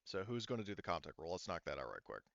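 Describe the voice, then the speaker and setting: A man casually running a tabletop roleplaying game as game master, often thinking out loud. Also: low-pitched, very animated, fast, gravelly, dry recording, muffled recording.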